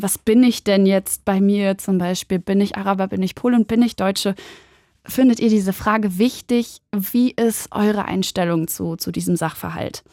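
A young woman from Germany hosting a casual call-in show, chatty and animated, putting questions to her listeners. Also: medium pitch, no echo, clean studio sound. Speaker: radio call-in host.

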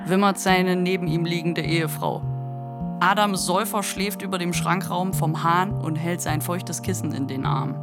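A woman's voice: on the low side, normal speed, over loud music. A woman narrating a literary radio play from a script.

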